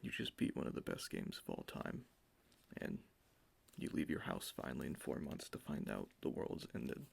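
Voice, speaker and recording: A man doing an ASMR roleplay in a soft, mostly whispered, gravelly voice, close to the mic. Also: extremely low pitch, wildly swooping pitch, moderate pace. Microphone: close sensitive ASMR microphone.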